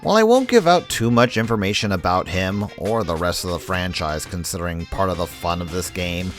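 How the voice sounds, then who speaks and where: A man's voice: sits low, animated, fairly quick. A man narrating a scripted video essay in a conversational way with wry asides. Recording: background music under voice. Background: music.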